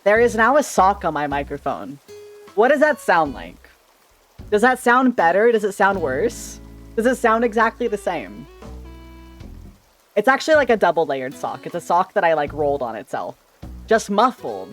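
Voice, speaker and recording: Playful rambling streamer guy, lively pitch. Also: moderate pace, soft background music. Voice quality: slightly shrill, sharp-sounding voice. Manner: rambling, playful, stumbles and repeats words. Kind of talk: casual livestream chat with viewers. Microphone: desk microphone.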